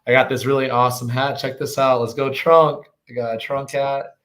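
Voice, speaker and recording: A man with a slightly hoarse voice from yelling, chatting casually and enthusiastically in slangy speech as a guest on a livestream. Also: medium pitch, flat delivery, moderate pace. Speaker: guest on a live show.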